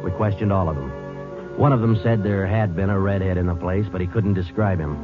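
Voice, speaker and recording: A terse American detective played by a man in a vintage radio crime drama. He has a low voice and moves along briskly, flat and businesslike, on a muffled old recording with loud music. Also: close to an everyday voice. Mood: deadpan, matter-of-fact. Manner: clipped, deadpan. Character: terse male police detective sergeant, weary and businesslike. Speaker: narrator and lead actor. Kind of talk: 1940s-50s radio crime drama narration.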